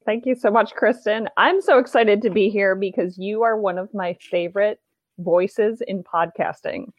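A woman's voice: mid-range voice, moderate pace, dry recording, lo-fi sound, a faint crowd. A woman in her forties, a podcast guest, talking reflectively in a casual interview chat.

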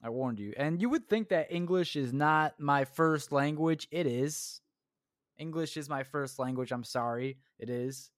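A man's voice: a little high, a little slow, no room sound, studio quality. A young man hosting his own podcast, rambling crudely and mocking himself, swearing often. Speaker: podcast host. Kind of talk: solo comedic podcast rant.

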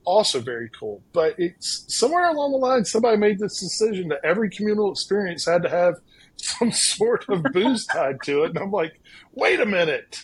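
A man's voice: sits high, very animated, fairly quick, slightly rough, no room sound. A man hosting a podcast interview, upbeat and friendly, talking with many fillers. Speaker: podcast host.

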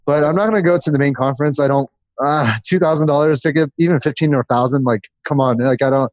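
A man's voice: on the high side, fast, no echo. Man chatting in a dry-sounding interview. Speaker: podcast guest, growth marketer. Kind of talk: casual interview talk.

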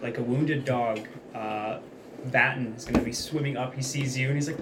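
A man plays a tabletop game, talking his character through each move at an unhurried pace. His pitch is middling. A plain layer of noise runs underneath. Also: steady tone. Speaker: player. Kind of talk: casual tabletop play.

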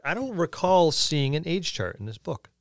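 A man's voice: a little high, big pitch swings, moderate pace, dry recording, studio quality. A man co-hosting a podcast, musing aloud in casual discussion and posing questions as he goes. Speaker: podcast co-host. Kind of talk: casual tabletop gaming discussion.

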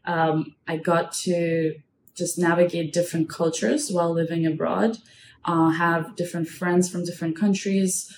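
A young woman from Russia speaks as an interview guest in a conversational way. Her voice is quite low for a woman. The recording is echoey, with faint noise in the background. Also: level tone, a little slow, darkish tone. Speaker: podcast guest, marketing professional.

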